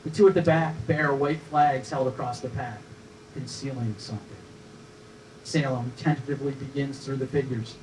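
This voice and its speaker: A man's voice: mid-range voice, steady tone, moderate pace. A man reading scripted stage directions as narrator at a live table read, recorded from a distance with some noise.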